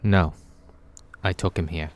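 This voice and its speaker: A man's voice: deep voice, fairly even intonation, unhurried, lo-fi sound. A man playing a grave, secretive alien time traveller in an audio drama, solemn and imploring.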